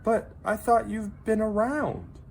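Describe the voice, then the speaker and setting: A reader voicing a lonely, yearning boy in a children's storybook, plaintive and expressive. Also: mid-range voice, takes it slowly, gravelly, slight background noise, pitched above the performer's own voice. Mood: excited. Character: lonely, yearning boy who burns like fire.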